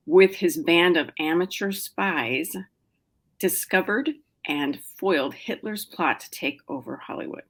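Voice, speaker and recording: A middle-aged woman speaks with a low, easy voice as she answers an interviewer's questions about her writing. She talks casually and chattily, with frequent ums and restarts. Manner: chatty, many fillers and restarts. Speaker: novelist guest.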